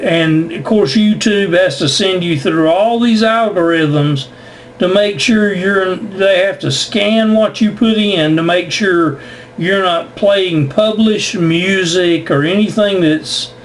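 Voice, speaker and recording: A man explaining things in a casual amateur radio conversation, often repeating his words. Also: sits high. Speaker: amateur radio operator recording contacts for YouTube. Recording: noisy amateur radio audio.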